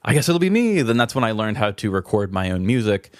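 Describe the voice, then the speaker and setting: A man's voice: on the low side, very animated, quick pace, dry recording, clean studio sound. A man co-hosting a podcast, chatting casually in a candid, introspective and wordy way. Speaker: podcast co-host. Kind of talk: casual reflective podcast chat.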